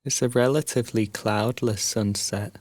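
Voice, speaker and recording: A man guiding a sleep meditation as a narrated story, soothing and hushed, leaving long pauses between phrases. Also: fairly low pitch, steady tone, no room sound.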